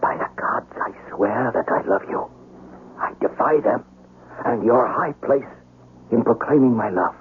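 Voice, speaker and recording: An actor playing a lovesick, proud young samurai with earnest, formal, dramatic delivery in a 1970s radio drama. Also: male voice, medium pitch, unhurried, natural voice. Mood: fervent. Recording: muffled, hissy old radio broadcast.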